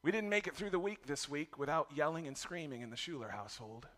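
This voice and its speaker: A middle-aged man preaching a sermon as pastor, his voice raspy from yelling, candid and self-deprecating about his family struggles. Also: a little high, fast.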